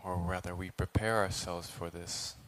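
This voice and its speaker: Low, even-toned man guiding meditation. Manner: measured, with long pauses between phrases. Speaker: meditation teacher, Buddhist monk. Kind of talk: guided meditation.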